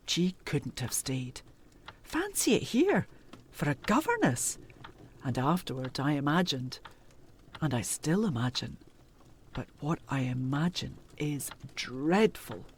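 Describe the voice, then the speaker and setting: Low-voiced, troubled older housekeeper. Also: female voice, big pitch swings, takes it slowly, long pauses, full character voice. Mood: reluctant dread. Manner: hesitant, confiding, dramatic. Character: troubled, gossiping older housekeeper, reluctant and dread-filled. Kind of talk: gothic audio drama acting. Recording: background noise and ambience.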